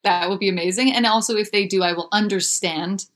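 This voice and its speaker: A young woman speaking candidly as an interview guest, chatty with lots of fillers and restarts, her pitch jumping up when she gets animated. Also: fairly quick. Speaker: author and musician guest.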